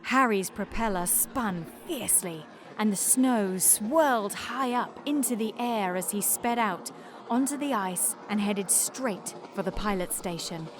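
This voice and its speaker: A woman narrating a children's storybook aloud in a measured, clearly articulated way. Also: medium pitch.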